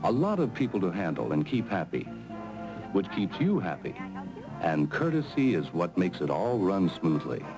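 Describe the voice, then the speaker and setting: American male narrator for a vintage training film: low register, lively intonation, instructive and encouraging. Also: normal speed, poor audio. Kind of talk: scripted narration addressed to the viewer. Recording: 1970s film soundtrack, music behind. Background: music.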